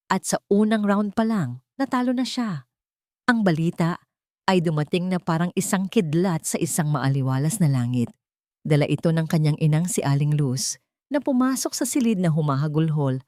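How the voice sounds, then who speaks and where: Babaeng tagapagsalaysay, pantay ang tono. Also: female voice, low voice, a little mellow, no room sound, studio quality. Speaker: story narrator. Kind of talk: scripted dramatic story narration.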